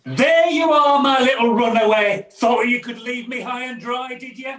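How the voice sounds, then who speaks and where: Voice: medium pitch, normal speed, a crowd in the background, natural voice. A performer playing a menacing, domineering washerwoman in a theatrical pantomime. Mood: gloating. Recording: echoey, distant video-call audio.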